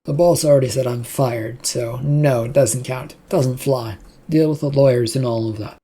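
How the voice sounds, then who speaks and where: A narrator voicing a defiant, dryly polite male employee who has been fired, in a husky storybook reading. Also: mid-range voice, moderate pace, dark tone, natural voice.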